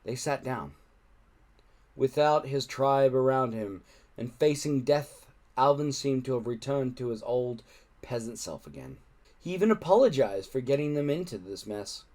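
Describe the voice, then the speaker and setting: A man narrating a children's adventure story aloud in an expressive storytelling style. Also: mid-range voice, moderate pace. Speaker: storybook reader.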